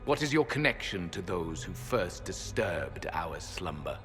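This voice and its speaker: A man's voice: low voice, big pitch swings, a little slow, fairly bright tone, heavily acted. An actor playing an ancient, stern male elven sentinel who speaks in a solemn, formal, cold way. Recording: long hall reverb. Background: faint music.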